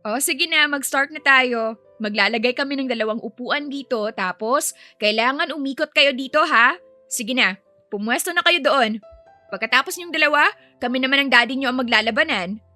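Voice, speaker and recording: Casting: woman as an affectionate but exasperated young wife in a story, high and emphatic, music behind. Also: moderate pace, sharp tone, no echo. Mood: brisk, instructing. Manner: scolding, emphatic. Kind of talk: audio story dialogue.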